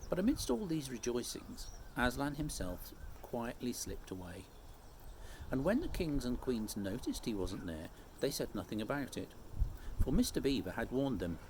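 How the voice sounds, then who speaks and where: A man reading a children's story aloud expressively, phrase by measured phrase. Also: medium pitch, big pitch swings, darkish tone, rough recording. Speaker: storybook reader. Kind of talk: expressive scripted story narration. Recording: faint background noise.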